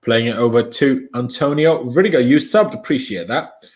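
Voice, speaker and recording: A man's voice: mid-range voice, moderate pace. A young Austrian man, a native German speaker, giving casual live commentary on a football match and reading out viewer comments. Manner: chatty, opinionated, reads viewer comments aloud. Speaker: fan watchalong streamer.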